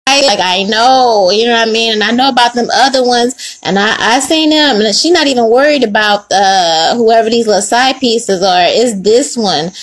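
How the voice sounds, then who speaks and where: A woman's voice: fairly high pitch, normal speed, few pauses, low-quality recording. A woman talks through a tarot spread at her table in a loose, slangy way. She swears casually and drops in laughing asides, speaking close to the camera. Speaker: tarot reader. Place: indoors at a table with cards.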